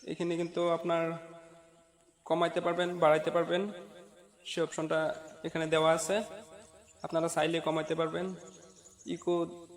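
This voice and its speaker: A man's voice: fairly high pitch, monotone, measured pace, pauses often. A man giving a casual how-to tutorial, speaking to viewers in an instructional, repetitive way. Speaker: tutorial host.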